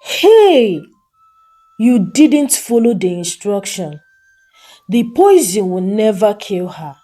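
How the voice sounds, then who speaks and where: A woman's voice: medium pitch, big pitch swings, slow, dark tone, faint music behind. A woman voicing a wicked, conspiring female friend in a folktale, questioning and scolding in an exclamatory manner. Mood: exasperated.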